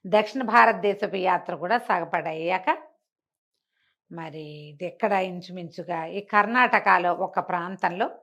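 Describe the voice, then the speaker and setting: A woman's voice: fairly low pitch, a bit bright. A woman storyteller telling a bedtime folk tale conversationally, repeating and explaining small details as she goes.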